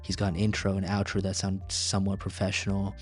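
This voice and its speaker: A man's voice: low voice, completely flat delivery, quick pace, soft background music. A young man whose first language is Tamil, hosting a solo podcast in a casual, rambling way with plenty of fillers and restarts. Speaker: podcast host.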